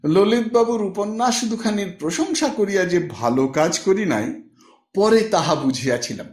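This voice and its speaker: A man reads literary fiction as an expressive storyteller. His voice sits fairly high and rises and falls widely, with deliberate phrasing. The recording has a clear room echo. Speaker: audiobook narrator, storyteller. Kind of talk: literary scripted narration.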